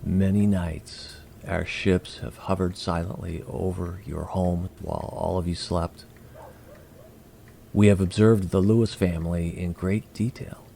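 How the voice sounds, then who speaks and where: Alien emissary for sci-fi audio drama, played by a man: low, gravelly, courteous and formal, faint noise behind. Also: steady tone, warm tone. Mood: solemn, explanatory. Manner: formal, measured, precise wording. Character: polite, curious, formal male alien emissary.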